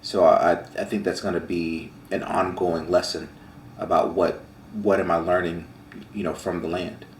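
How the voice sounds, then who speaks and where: Man, very deep voice, reflective, measured, fillers, echoey room. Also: level tone, slight background noise. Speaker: farm vlog co-host. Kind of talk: casual conversation.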